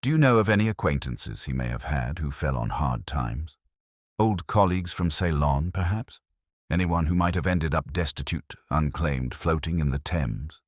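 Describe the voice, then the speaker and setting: Very deep male voice, husky, Victorian storybook detective, acted by a male reader, terse, formal, probing. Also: lively intonation, moderate pace, dry recording, clean studio sound. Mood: probing, intent.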